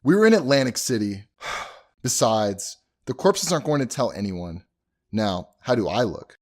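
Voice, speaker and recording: An actor playing an anxious, earnest young groom in an audio drama, sounding a little exasperated. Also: male voice, mid-range voice, very animated, a little slow. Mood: nervous, wry. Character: anxious, earnest young groom who runs a funeral home.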